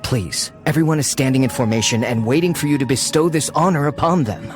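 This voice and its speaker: Game casting: deferential male subordinate soldier played by a man, formal delivery, average pitch. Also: fast, close to an everyday voice. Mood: earnest, insistent. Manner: formal, respectful. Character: deferential, dutiful male soldier reporting to his superior. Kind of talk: video game voice acting. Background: prominent background music.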